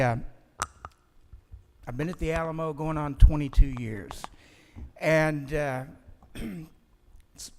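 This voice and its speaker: A man's voice: mid-range voice, a little mellow. Man lecturing in an echoing hall. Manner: projecting, plain-spoken. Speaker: conference speaker, museum historian. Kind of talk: public lecture. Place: large museum auditorium. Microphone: podium microphone. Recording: long hall reverb.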